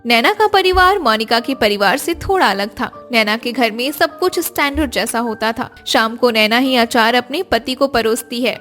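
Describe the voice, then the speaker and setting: A woman's voice: fairly high pitch, moderate pace, few pauses, a bit bright, soft background music. A woman narrator reading scripted story narration.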